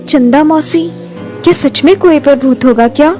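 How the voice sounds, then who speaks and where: A woman's voice: high voice, moderate pace, warm tone, faint music behind, close to an everyday voice. A woman playing a curious, innocent young village girl in a cartoon story.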